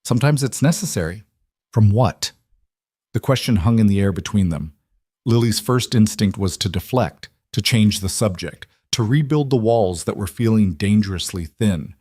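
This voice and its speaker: A man's voice: lowish voice, moderate pace, a little mellow, no echo, clean studio sound. A man telling a story as scripted romance audiobook narration.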